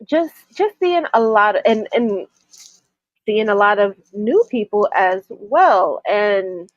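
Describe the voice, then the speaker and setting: A woman's voice: mid-range voice, expressive, takes it slowly, dry recording, dull audio. A woman from New York co-hosting a casual wrestling podcast, sounding stuffy from a cold and talking with frequent ums and restarts. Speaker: co-host. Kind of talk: casual chat.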